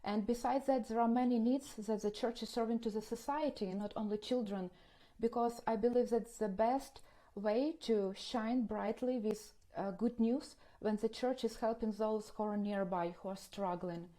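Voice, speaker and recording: A woman's voice: on the high side, fairly even intonation, moderate pace, warm tone. A woman from Ukraine with a Ukrainian accent, giving an earnest, explanatory first-hand report as a ministry coordinator. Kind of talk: interview, first-hand account.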